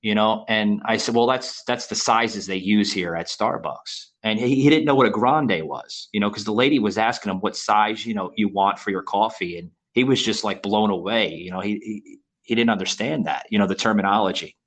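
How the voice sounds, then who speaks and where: A man from Florida co-hosting a podcast interview, talking in a halting way full of restarts and "you know" fillers. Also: fairly low pitch, fairly bright tone. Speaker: podcast co-host. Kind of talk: casual interview chat.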